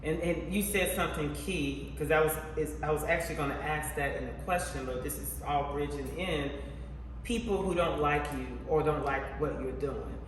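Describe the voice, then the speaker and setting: A man's voice: on the high side, moderate pace, slight background noise. A man hosting an interview, reflecting on faith and asking long, rambling questions. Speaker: interviewer host. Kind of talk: casual interview chat. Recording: echoey hall from far off.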